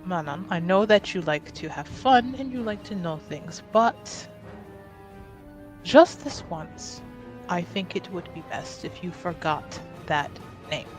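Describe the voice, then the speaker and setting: Woman playing a low, measured, gently chiding noblewoman. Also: expressive, faint music behind, poor audio. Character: composed, gently teasing noblewoman, fond elder confidante.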